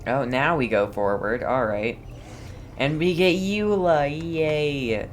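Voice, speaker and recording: Very low-voiced woman, casual game commentary. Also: slow, slight background noise. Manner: laid-back, short reactions, echoes game lines. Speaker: gaming streamer.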